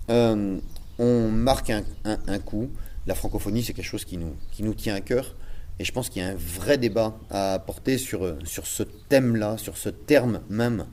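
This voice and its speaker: Low mellow guy, theatre director, stops and restarts a lot, bit of hiss behind. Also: normal speed. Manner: hesitant, repeats words while searching. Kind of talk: reflective interview talk.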